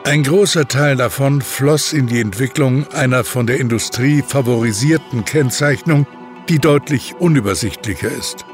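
Male narrator, medium pitch, scripted documentary-style read, music bed underneath. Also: normal speed.

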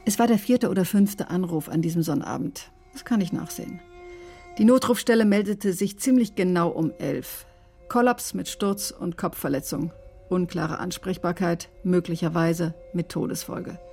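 An actress playing a brusque, sardonic emergency doctor who recounts a case in clipped, matter-of-fact radio drama narration. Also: female voice, fairly low pitch, moderate pace, mellow, soft background music, lightly acted. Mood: sober, matter-of-fact. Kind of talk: German radio drama, dry first-person narration.

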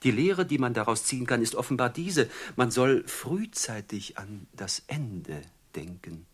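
An actor playing a falsely courteous, menacing robber chief who quotes poetry in an unctuous, theatrically drawn-out way, in a classic German radio drama. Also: male voice, mid-range voice, lively intonation, slightly rough. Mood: slyly didactic. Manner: unctuous, mock-polite, theatrically drawn-out phrasing.

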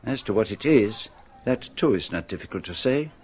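A man's voice: on the low side, animated, moderate pace, darkish tone, breathy edge. A man playing a courteous, inquisitive detective with a French-speaking European accent and formal, quaint phrasing in a radio drama. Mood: measured, curious.

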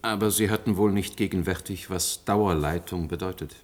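An actor playing a formal official in a German radio play, speaking in a pointed, correct manner. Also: male voice, sits low, normal speed. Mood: formal, reproachful. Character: formal male official reporting to a state prosecutor.

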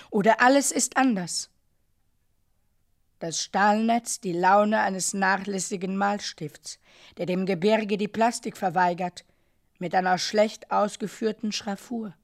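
An actress plays a female narrator in a literary radio drama, with a medium pitch. The delivery is slow, measured and declamatory. Dark, surreal descriptions are set out as questions and pondered phrase by phrase. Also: natural voice.

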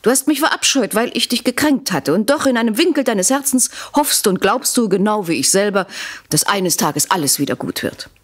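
Radio drama wife, played by an actress, standard German, mid-range voice, proud and calculating, insistent and articulate. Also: female voice, medium pitch, animated, brisk, close to an everyday voice. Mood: probing, assertive. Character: proud, calculating unfaithful wife, cool and passionate.

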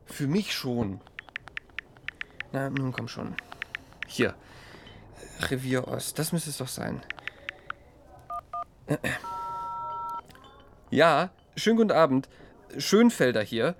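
A man's voice: mid-range voice, expressive, takes it slowly, long pauses. A man playing an irritable, sleepless young man fed up with noise in a naturalistic radio drama, hesitant when trying to be polite. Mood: annoyed, formal.

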